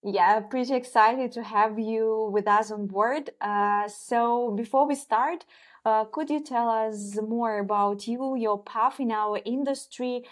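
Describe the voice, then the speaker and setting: A woman's voice: on the high side, fairly even intonation, slow pace. A woman in her twenties hosting a business podcast, asking careful questions with many hesitations. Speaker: podcast host. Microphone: wired earphones on a video call.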